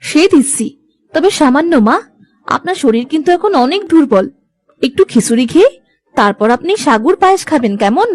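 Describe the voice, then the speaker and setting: A woman's voice: high voice, animated, a little fast, a little mellow. A woman voicing a caring, dutiful elder daughter-in-law in a village cartoon, with a tender, reassuring manner. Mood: gentle concern. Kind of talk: Bengali moral-story cartoon dubbing.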